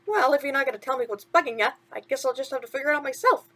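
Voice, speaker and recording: A woman's voice: high voice, racing, fairly bright tone. A woman voicing a brash little male mouse from a children's storybook, bossy but encouraging, with slangy, punchy delivery. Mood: brisk, probing. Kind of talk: children's storybook character reading.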